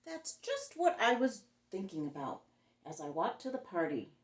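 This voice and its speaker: A woman's voice: mid-range voice, sing-song, normal speed, echoey room. A woman hosting story time, reading a picture book expressively and explaining things simply for young children.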